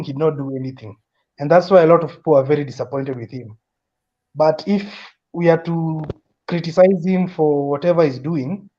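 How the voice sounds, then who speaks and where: A man's voice: fairly high pitch, warm tone. A young Kenyan man with a Kenyan accent, calling into a discussion and arguing earnestly, often restarting his sentences. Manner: earnest, repeats and restarts while building an argument. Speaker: caller in a discussion. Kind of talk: casual opinion chat. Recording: dull lo-fi audio.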